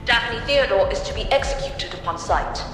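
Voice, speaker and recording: An actress playing a stern military announcer, delivering formal orders as a public-address announcement. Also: female voice, fairly high pitch, lively intonation, moderate pace, music in the background, natural voice. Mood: stern, official. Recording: echoing hall, long reverb.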